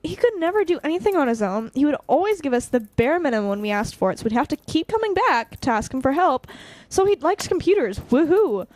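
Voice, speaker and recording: Woman playing hot-headed young gal in an audio drama, highish pitch, blunt n sarcastic, really dry sound. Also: big pitch swings, brisk, few pauses, darkish tone, no echo. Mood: angry, scornful. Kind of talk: naturalistic audio drama dialogue.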